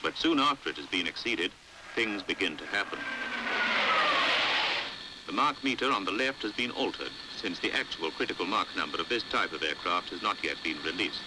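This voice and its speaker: A British man narrating a 1950s educational film in a measured, precise, didactic way, on an old hissy soundtrack. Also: low voice, expressive. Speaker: documentary narrator. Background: faint hiss.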